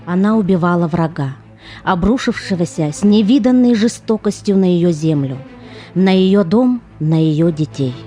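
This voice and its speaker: A woman's voice: fairly low pitch, expressive, normal speed, warm tone, soft background music, natural voice. A woman delivering solemn, reflective scripted narration as the narrator of an amateur audio play. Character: solemn female narrator reflecting on women at war.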